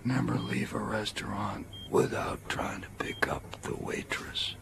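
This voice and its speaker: An actor playing a feeble, dying father, an old womanizer, in a whispery, very creaky voice in a radio drama. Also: on the low side, fairly even intonation, measured pace, fairly bright tone, exaggerated voice.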